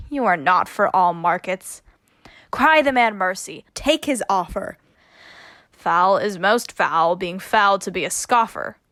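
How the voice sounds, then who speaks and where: A woman's voice: mid-range voice, lively intonation, slow, a bit bright, no room sound. A woman acting a bossy, mocking heroine disguised as a youth in a Shakespearean radio play, scolding and teasing theatrically.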